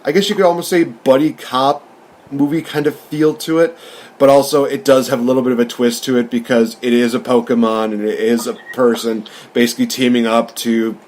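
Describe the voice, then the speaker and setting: A man's voice: medium pitch, level tone, fairly quick, a little mellow. Man casually recapping a movie. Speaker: podcast host and film reviewer. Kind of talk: casual movie review discussion.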